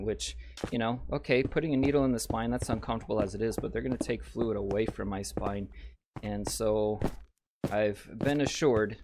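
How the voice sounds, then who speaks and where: Slightly raspy man, chatty gameplay host. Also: on the low side. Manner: rambling, chatty, addresses viewers directly. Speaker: let's play host. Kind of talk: casual gameplay commentary. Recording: steady background noise.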